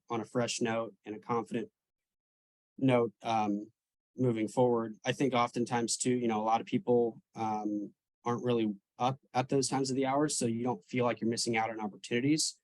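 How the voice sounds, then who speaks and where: A man's voice: medium pitch, little pitch movement, normal speed, a little mellow, dry recording, low-quality recording. Young man, twenties, video call guest, laid-back, lots of ums, dry close sound. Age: 20s. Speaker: real estate agent. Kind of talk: video call interview answers.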